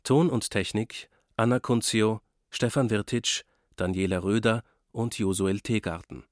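A man's voice: on the low side, steady tone, unhurried, no echo, clean studio sound. A man reading scripted radio play credits as an announcer.

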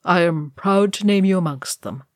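Woman as a grave, low, sorrowful dwarf lord. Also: no room sound, clean studio sound, lightly acted. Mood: grave, gentle. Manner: solemn, measured, weary. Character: grave, sorrowful, noble male dwarf ghost.

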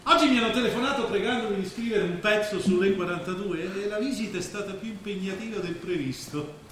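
A man's voice: sits high, fast, a bit bright. A man acting on stage in an Italian drama, playing a celebrated writer who is urbane, condescending and declamatory. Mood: condescending, curious. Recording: very echoey hall, faint background noise.

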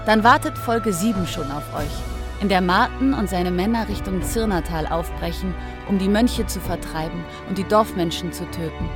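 An announcer reading a scripted podcast outro, addressing listeners directly in an informal, inviting way. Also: mid-range voice. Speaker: series announcer. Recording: clear music behind the voice.

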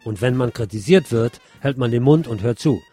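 A man's voice: mid-range voice, moderate pace, loud music behind the voice. A man presenting scripted news on the radio, reading as a presenter.

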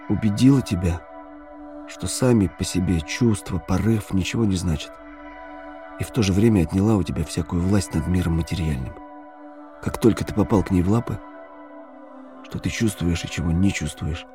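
A man giving a dramatic scripted narration of a novel as the narrator of an audio play. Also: low-pitched. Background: music.

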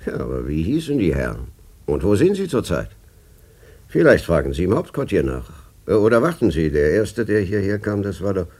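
An actor playing a harried army major in a German radio play, brusque and apologetic, with a husky voice. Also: male voice, low-pitched, very animated, a little fast, a little mellow, heavily acted. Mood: apologetic, hesitant.

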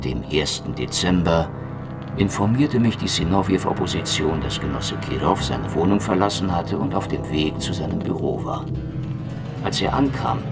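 A man playing a cynical Soviet secret police agent who confesses in a deliberate, formal recital, in a radio drama. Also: a bass voice.

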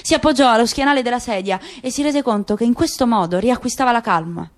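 A woman narrating a literary story in a radio drama reading, even and composed. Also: a little high, quick pace.

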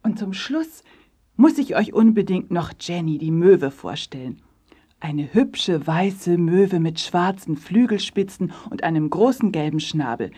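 A woman narrating a scripted story for children, addressing them directly as a measured storyteller. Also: fairly low pitch, lively intonation, warm tone, husky.

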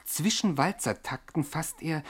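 A man playing a pompous commentator, narrating a radio comedy with deliberate, tongue-in-cheek scholarly gravity. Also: on the high side, slightly rough. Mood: solemn irony. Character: pompous male commentator, dryly mock-scholarly. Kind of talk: scripted mock-documentary radio narration.

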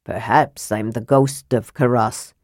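A woman voicing a calm, mysterious old male dwarf with a mild, measured manner in a fantasy audiobook. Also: extremely low pitch, dry recording, studio quality, pitched below the performer's own voice. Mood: calm, enigmatic.

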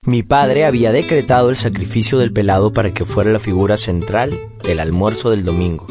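A man acting as a grown son who narrates his family's story in measured, literary radio style. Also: fairly low pitch, a little mellow, lightly acted. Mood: storytelling. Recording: music under narration.

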